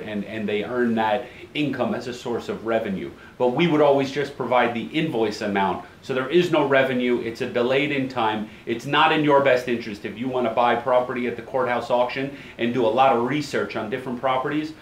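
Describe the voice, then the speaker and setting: Man in his forties, office host explaining to camera, mid-range voice, roomy echo, faint hum. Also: medium pitch. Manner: brisk, plain-spoken, repeats key phrases. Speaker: title company owner, show host. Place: office at a desk.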